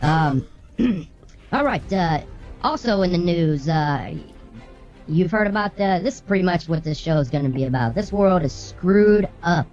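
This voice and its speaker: Woman, low-pitched, brash news co-host, casual comic banter, faint music behind. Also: lively intonation, measured pace, darkish tone. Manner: brash, bossy, swearing. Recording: slightly muffled, music underneath.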